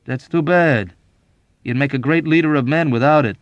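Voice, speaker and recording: A man's voice: a little high, moderate pace, no room sound, dull audio, pitched above the performer's own voice, close to an everyday voice. A man voicing a wry, exasperated young bombardier in an audiobook war novel, speaking in an emphatic, sardonic manner.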